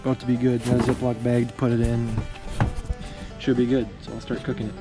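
A man's voice: medium pitch, level tone, fast, over music. A young man casually narrating as he fries fish he caught, talking to the viewers while he works outdoors on an action camera. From Canada. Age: young adult. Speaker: fishing vlogger. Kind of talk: casual outdoor cooking vlog. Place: outdoors at a lakeside parking area. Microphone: action camera, sometimes handheld at arm's length.

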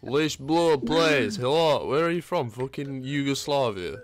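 A young man on voice chat during a game, dry and teasing in a deadpan way. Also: mid-range voice, measured pace. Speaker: gamer teammate on voice chat. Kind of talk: casual voice chat while gaming.

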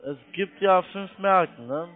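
A fairly high-voiced man, not a native German speaker, works a sales call over a thin phone line. He is insistent and blunt, talking like a call-centre agent. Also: slow. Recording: tinny narrowband phone line.

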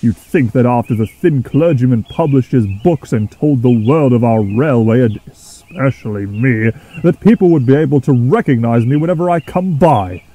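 A man voicing a pompous, indignant cartoon steam engine, complaining in a loud, aggrieved manner. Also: medium pitch, animated, normal speed, close to an everyday voice.

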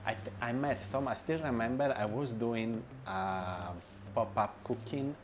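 A man's voice: mid-range voice, measured pace, background noise. A man in his forties, a chef and restaurant owner, answering interview questions in a hesitant way, with many fillers, restarts and grammar. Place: bright indoor room with light wall. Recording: music bed under voice. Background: background music.

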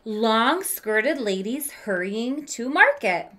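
A woman in her thirties reads a picture book to children. Her voice is bright and fairly high, and she takes her time. She tells it with drama and speaks directly to her young listeners. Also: big pitch swings. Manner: deliberate, dramatic storytelling with questions to young listeners. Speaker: teacher reading aloud to children. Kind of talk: expressive children's storybook read-aloud with asides. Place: small room with bookshelf, indoors.

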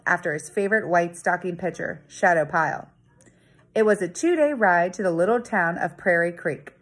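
A woman in her thirties, a teacher, reading a children's story aloud in a dramatic, expressive storytelling manner with deliberate emphasis. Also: medium pitch. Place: living room at home. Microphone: phone or tablet at arm's length.

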